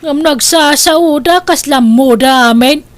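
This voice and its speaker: A woman's voice: high voice, unhurried. A woman playing a devoted, hardworking young elder sister with a Filipino accent, earnest and emotive in an Ilocano family radio drama. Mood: indignant.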